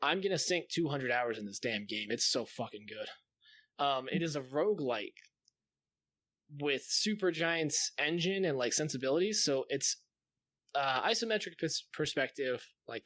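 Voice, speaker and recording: A man's voice: mid-range voice, expressive, a little slow, fairly bright tone, no echo. A man co-hosting a podcast, chatting casually and enthusiastically about games, with some swearing. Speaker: podcast co-host. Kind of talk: casual gaming chat.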